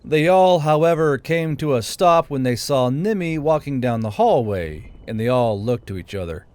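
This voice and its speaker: Male narrator, medium pitch, scripted fantasy storytelling for an audiobook. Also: expressive, normal speed, a little mellow.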